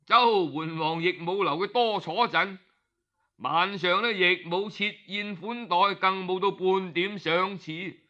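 A dull, lo-fi recording of a man telling old historical tales in a Cantonese accent. His voice sits fairly high and is strikingly bright and sharp. He narrates colloquially, like an oral storyteller, sprinkling in exclamations. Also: measured pace. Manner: colloquial, conversational, with exclamatory particles. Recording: dull, lo-fi audio.

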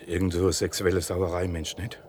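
A man's voice: low-pitched, level tone, normal speed, a little mellow, close to an everyday voice. An actor playing a grim, disgusted rural woodsman in a radio play. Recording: noisy outdoor scene.

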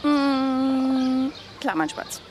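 A woman's voice: sits high, very slow, soft background music. An actress playing a mother out with her young son, speaking conversationally in a radio drama scene. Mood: uneasy, conversational. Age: 30s. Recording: noisy outdoor zoo ambience with birds.

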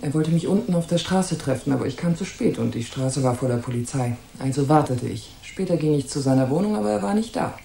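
A woman's voice: low voice, expressive, fast talker, vocal fry, hardly any pauses, dark tone, slight background noise. An actress playing a haughty, suspicious and scornful married woman in a German radio crime drama. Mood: explaining, terse. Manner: disdainful, imperious, guarded. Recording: roomy echo.

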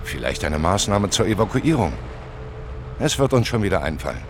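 Deep-voiced, reasoning judge. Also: male voice, sing-song. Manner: reasoned, deliberate. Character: male judge, measured, reasoning, thoughtful. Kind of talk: German radio crime drama acting. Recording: noisy outdoor ambience behind voice.

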